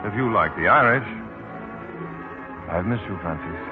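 An actor playing a wry, proud, cynical newspaper writer and romantic lead, dry and wisecracking, in 1940s radio style. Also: male voice, fairly low pitch, expressive, moderate pace, close to an everyday voice. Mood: wry flirting. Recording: muffled 1940s radio broadcast, music behind.

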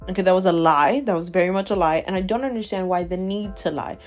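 Young woman in her 20s ranting on her podcast, lowish voice, punchy, keeps going "okay?", soft music under it. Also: fast talker. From Ecuador. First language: Spanish. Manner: emphatic and animated, keeps asking "okay?". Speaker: podcast host. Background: faint chill background music.

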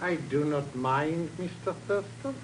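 An actor playing a polite, formal, two-faced villain with precise speech in a 1950s radio drama. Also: male voice, on the high side, unhurried, lightly acted, poor audio. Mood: coolly menacing. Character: smooth, formal, duplicitous Indonesian male oil geologist villain. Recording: old radio broadcast with hiss.

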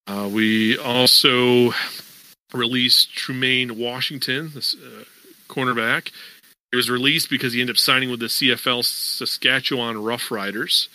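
A man's voice: medium pitch, fairly even intonation, a little slow, sharp tone, no echo, low-quality recording. A man hosting a sports podcast, talking casually and at length with plenty of fillers. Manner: conversational, many fillers, explains at length. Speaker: podcast host.

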